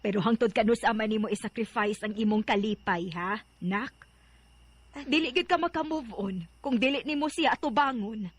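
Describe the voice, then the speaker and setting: Caring mother, Filipino accent, breathy husky voice, tender, sentimental, radio play. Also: fairly high pitch, normal speed. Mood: coaxing, concerned. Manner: tender, coaxing. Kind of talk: Philippine radio drama acting.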